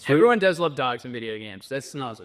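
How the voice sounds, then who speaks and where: A man's voice: medium pitch, big pitch swings, a little fast. A man co-hosting a casual interview. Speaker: interviewer, co-host.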